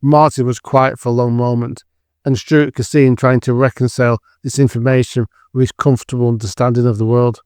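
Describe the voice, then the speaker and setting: Smooth male story narrator. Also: medium pitch, level tone, a little fast, a little mellow, no echo, studio quality.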